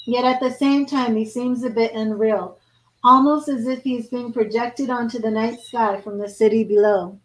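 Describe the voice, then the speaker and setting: A hoarse woman losing her voice, giving a live tarot reading and reading card meanings aloud between casual asides. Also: on the high side, poor audio. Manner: reads card meanings aloud, then chats and restarts. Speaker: tarot reader.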